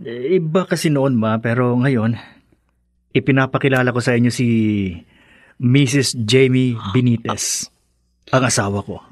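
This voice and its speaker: A man's voice: medium pitch, a little slow, pauses often, no room sound, studio quality, lightly acted. A man acting in a Filipino radio drama as an earnest young newlywed, a conciliatory son and brother. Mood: nervous, pleading.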